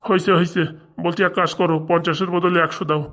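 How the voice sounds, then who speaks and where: A woman's voice: on the low side, level tone, quick pace, a bit bright, natural voice. A woman playing a grasping, pushy male official in a comic cartoon, with a nagging, colloquial way of talking. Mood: pushy, grumbling.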